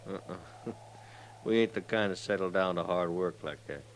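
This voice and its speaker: An actor in an old-time radio western playing a menacing, mock-friendly outlaw with a rural American Western drawl. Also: male voice, sits low, normal speed. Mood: sly, casual. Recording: noisy old radio recording.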